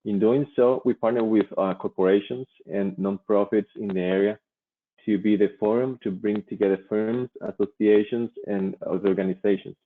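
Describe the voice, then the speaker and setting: A man from Colombia, a native Spanish speaker, presenting slides at a virtual event in a halting way, pausing often. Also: fairly low pitch, steady tone. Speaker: chamber vice president, presenter. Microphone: online video call. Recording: muffled phone-like call audio.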